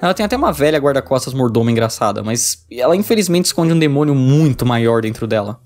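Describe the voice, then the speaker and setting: A man's voice: mid-range voice, expressive, fast talker, darkish tone. A man with a Brazilian accent reading a casual, slangy scripted game review. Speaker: video essay narrator, game reviewer. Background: music.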